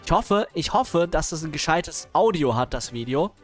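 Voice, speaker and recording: A male streamer reacts to videos in a casual, sarcastic way, hurrying them along with chuckling asides. His voice sits slightly high for a man and swings widely in pitch. Soft music plays faintly behind him. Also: lively intonation, normal speed.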